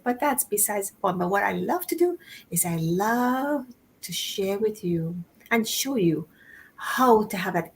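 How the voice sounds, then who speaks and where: A bubbly woman hosts an online talk show, laughing often as she welcomes everyone. Her voice sits at an ordinary middle pitch, with a faint hiss of room noise behind it. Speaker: show host.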